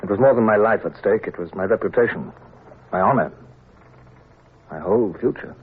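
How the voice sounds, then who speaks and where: Brief: man as introspective British sea captain, very deep voice, tinny old radio sound. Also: background noise, close to an everyday voice. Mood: reflective. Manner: introspective, measured and earnest. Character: reflective middle-aged male naval captain. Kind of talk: 1950s radio drama first-person narration.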